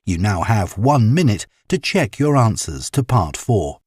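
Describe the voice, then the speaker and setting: Low, husky man announcing exam instructions. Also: big pitch swings, a little slow, a little mellow, dry recording. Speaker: test announcer. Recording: dry studio recording.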